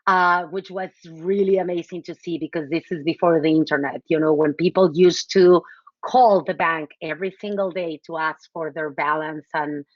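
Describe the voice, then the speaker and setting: A woman in her forties from Mexico, a guest chatting casually in an interview, warm and talkative with many fillers and frequent laughs. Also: low voice, fairly even intonation, normal speed, dry recording, muffled recording. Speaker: guest, nonprofit executive director.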